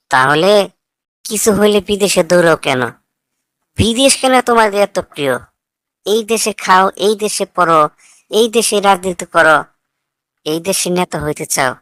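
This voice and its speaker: Cartoon role: young foreign-educated idealist , voiced by a woman, defiant and sarcastic, slightly low pitch, dry close sound. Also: fairly bright tone, dry recording, lightly acted. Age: young adult. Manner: argumentative, sarcastic, lecturing. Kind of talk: scripted cartoon dialogue.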